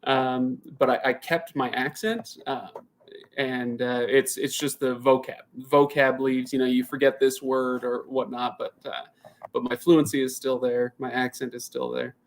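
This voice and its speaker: A man in his thirties hosts a relaxed live Q&A at a middling pitch. He chats and thinks out loud as he answers viewer comments, and he often stops and starts his sentences over. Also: measured pace, vocal fry, a little hiss or hum. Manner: rambling, restarts sentences often. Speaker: channel host. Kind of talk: casual live Q&A.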